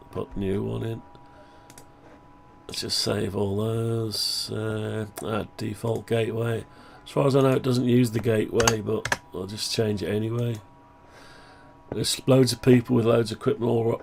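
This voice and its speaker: Guy, low croaky voice, groggy w/ flu, rambly thinking out loud. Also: slight background noise. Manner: rambling, thinking aloud, frequent throat clearing. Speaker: hobbyist maker showing his own software. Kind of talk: casual screen-share project update.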